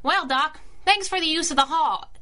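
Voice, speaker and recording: An actress playing a perky, quick-witted young crime-fighting sidekick in a radio drama, animated and wisecracking. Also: female voice, high voice, slight background noise. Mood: curious, wry. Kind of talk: 1930s-set radio drama acting.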